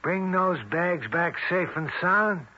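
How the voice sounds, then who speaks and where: A muffled old radio broadcast carries a bossy American gangster. His voice is hard-edged and rough, and he talks in streetwise slang with open menace. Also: sits high, takes it slowly, pushed and bright, exaggerated voice. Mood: commanding. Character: menacing Italian-American mobster, male fugitive, slangy and bossy. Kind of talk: old-time radio drama acting.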